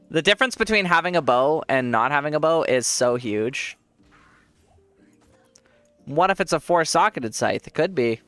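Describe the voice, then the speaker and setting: A congested man streams his gameplay in a loose, rambling way, restarting sentences and laughing a lot. His voice sits a little high for a man and sounds bright and smooth. The recording is dry and close, with faint music underneath. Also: lively intonation, unhurried, pauses often, dry recording. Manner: rambling, self-correcting, laughs often. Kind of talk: casual gameplay commentary.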